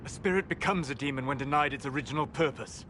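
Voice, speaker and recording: An actor playing a grave elven mage in a video game, protective and righteous, with measured, deliberate phrasing. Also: male voice, medium pitch, fairly bright tone, a little hiss or hum, natural voice.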